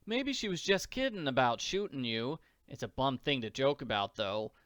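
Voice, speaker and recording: A man's voice: medium pitch, lively intonation, moderate pace, fairly bright tone, dry recording, studio quality, close to an everyday voice. A man voicing a plucky little orphan girl from a comic strip, with slangy, exclamatory talk. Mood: musing.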